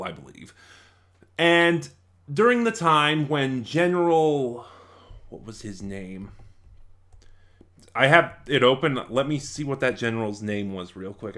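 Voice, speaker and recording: A man's voice: medium pitch, a bit bright. A man reviewing in a casual, unscripted way, rambling and often losing his thread and correcting himself. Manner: rambling, scatterbrained, self-correcting.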